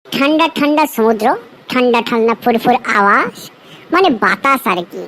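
A woman's voice: fairly high pitch, lively intonation, moderate pace, a bit bright, rough recording. A woman playing a flirtatious, impatient married woman in a comedy cartoon, bold and earthy in manner. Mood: longing, frustrated. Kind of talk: comic cartoon dialogue.

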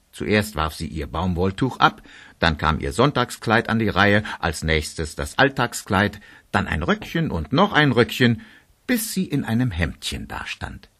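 Storybook narrator, man, lowish voice, highly expressive melody, scripted children's drama. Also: husky.

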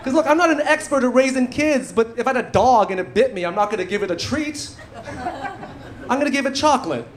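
Man in his thirties, stand-up comic, high lively voice, handheld mic, live club audience noise. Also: fast talker. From Canada. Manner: animated, punchy delivery with dry asides. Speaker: stand-up comedian. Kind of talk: stand-up set. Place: theatre stage before a live audience. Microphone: handheld stage mic. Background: audience laughter.

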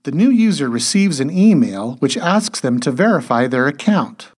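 A man reading scripted instructional narration as a tutorial narrator, in a measured, step-by-step way. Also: fairly high pitch, very animated, slightly rough.

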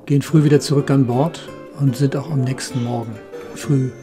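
A middle-aged man narrates as a skipper telling of his trip, with a mid-pitched voice. His delivery moves between written travelogue and off-the-cuff recollection. Music plays clearly behind him. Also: fairly even intonation, moderate pace. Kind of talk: travel narration, partly scripted, partly spontaneous recollection.

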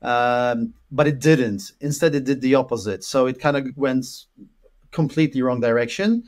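A man's voice: medium pitch, moderate pace, darkish tone. Man from poland, thirties, rambling guest talk, webcam call from home. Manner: rambling with many fillers and repeated words. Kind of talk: casual interview conversation. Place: room at home with an orange wall.

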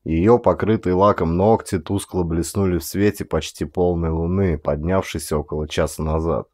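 A middle-aged man narrates fiction in a fairly low voice. He reads in a scripted, dramatic storytelling style, as an actor does for an audiobook. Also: fairly even intonation, moderate pace, a little mellow.

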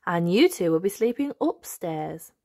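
A woman's voice: fairly low pitch, sing-song, measured pace, darkish tone, exaggerated voice. A woman voicing a cheerful, caring mother pig from a storybook, organising everyone in a motherly way. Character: cheerful, caring mummy pig, adult female.

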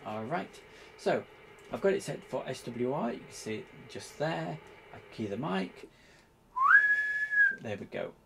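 A man giving an informal hands-on tutorial, explaining step by step in a halting, hesitant way. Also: mid-range voice, expressive, creaky, slight background noise, poor audio. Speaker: presenter demonstrating radio equipment.

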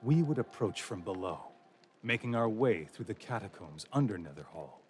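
A man giving grave, measured scripted narration for a fantasy game. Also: on the low side, sing-song, faint music behind.

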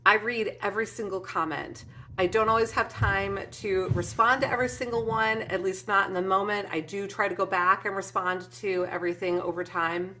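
Bright-voiced woman chatting to camera. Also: mid-range voice, fairly even intonation, normal speed, slight background noise. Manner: warm and emotional, frequent ums, gestures with hands. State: teary at moments. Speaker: craft channel host. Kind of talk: heartfelt casual vlog to viewers. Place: indoor craft room with shelves and flowers.

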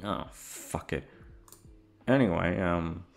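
A man's voice: deep voice, slow, vocal fry, faint music behind. A young man chatting informally to his listeners, meandering and swearing freely. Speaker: audio roleplay voice actor. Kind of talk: casual rambling chat to listeners.

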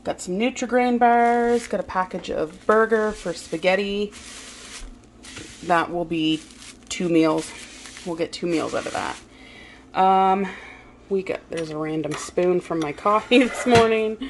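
A woman vlogger casually narrating a grocery haul in her home kitchen, relaxed and chatty with frequent "um"s. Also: mid-range voice, measured pace, slight background noise, rough recording.

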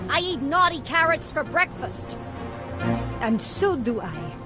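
Casting: high-voiced lady rabbit, brisk, self-assured and cheerful, kids' cartoon game. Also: very animated, heavily acted. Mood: confident. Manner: confident, cheery swagger. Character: confident, brisk adult female rabbit, cheerfully heroic. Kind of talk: children's cartoon game voice acting. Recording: music behind the voice.

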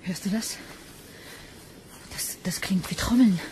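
A woman playing an entranced psychiatric patient in a sci-fi radio drama, reciting dark poetic visions in a breathy whisper. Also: medium pitch, slow, lightly acted, poor audio. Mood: entranced, eerie.

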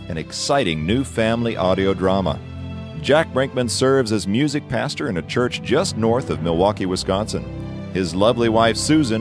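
A man delivering scripted narration as the narrator of a family audio drama. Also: fairly low pitch. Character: storytelling narrator introducing a family adventure.

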